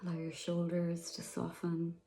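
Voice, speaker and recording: Woman leading a meditation, slow and soft, gravelly low voice, quiet music behind. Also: completely flat delivery. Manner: slow, soft, soothing, with long pauses. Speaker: meditation guide. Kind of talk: guided meditation.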